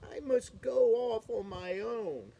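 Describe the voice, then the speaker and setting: Lady reading as a shy young reindeer boy, earnest and gentle, slow. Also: on the high side, animated, creaky, a little hiss or hum, exaggerated voice.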